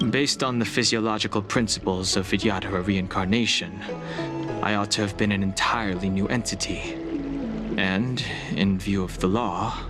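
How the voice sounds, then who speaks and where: A man's voice: fairly low pitch, close to an everyday voice. A man playing a reserved, solemn young hero. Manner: quiet, reserved, sober. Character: solemn young man facing his past. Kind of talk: video game voice acting. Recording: game music underneath. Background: game music.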